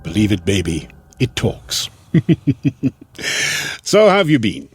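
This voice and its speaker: A man's voice: lowish voice, huge pitch swings, slow, slight background noise, heavily acted. An actor playing a smug, teasing salesman with an uncanny menace and glib, mocking patter in an audio drama. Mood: smug, gloating. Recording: music under the voice.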